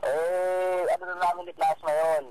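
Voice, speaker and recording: A man's voice: high-pitched, level tone, normal speed. A man with a Filipino accent arguing defensively on a phone call, repeating himself and tagging on questions. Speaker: rapper receiving a prank call. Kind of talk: argumentative phone conversation. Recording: phone call with crowd noise behind.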